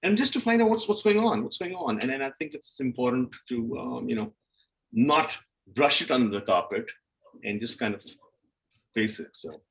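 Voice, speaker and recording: A man talks in an informal, candid way, as a guest on a panel would. His voice sits at a middling pitch and he slips into self-questioning dialogue as he explains himself. The audio is dull and lo-fi. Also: lively intonation, normal speed, creaky. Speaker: guest speaker on a literary festival panel. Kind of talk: candid conversational panel talk about personal experience.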